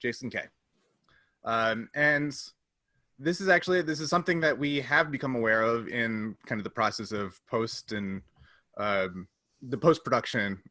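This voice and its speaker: A man's voice: medium pitch, pushed and bright, dry recording, studio quality. A man hosting a casual podcast discussion in an informal, somewhat hesitant way. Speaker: podcast host and player.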